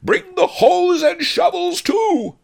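A man voicing an excitable, greedy miser in a storybook, yelling and howling in a rasping voice. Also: very high-pitched, slow, voice pushed up, exaggerated voice. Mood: frantic glee. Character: ugly, greedy old miser, excitable and shrieking.

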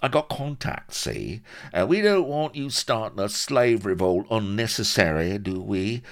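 High-pitched, wheedling shyster. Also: male voice, very animated, unhurried. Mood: sly, wheedling. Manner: wheedling, colloquial. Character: shifty, unctuous male shyster with knavish schemes.

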